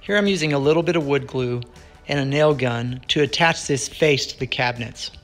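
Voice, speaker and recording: A man giving scripted step-by-step voice-over narration for a DIY woodworking build, in a plain, measured instructional tone. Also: mid-range voice, a bit bright, faint music behind.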